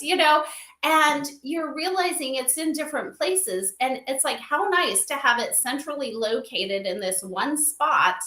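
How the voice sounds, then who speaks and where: A woman's voice: sits high, pushed and bright, echoey room. A woman chatting casually as an interview guest, effusive and emphatic in agreement, on a livestream call. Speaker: guest advisor.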